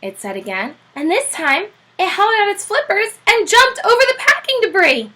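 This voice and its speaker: A woman teacher reading a children's chapter book aloud with animated, expressive storytelling. Her voice is high and bright, and it rises and falls a great deal. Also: normal speed.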